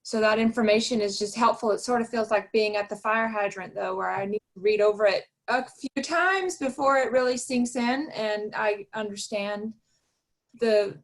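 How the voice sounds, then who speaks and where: A woman's voice: mid-range voice, normal speed. A woman hosting an online talk, polite and appreciative in a chatty way. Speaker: host.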